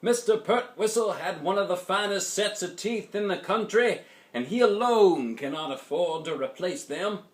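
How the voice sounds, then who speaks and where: A man acts out an earnest small-town pastor from a children's book. He speaks in a raised, swelling voice, measured and oratorical, as if making a solemn speech. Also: high-pitched, lively intonation, roomy sound, voice pushed up. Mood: earnest appeal.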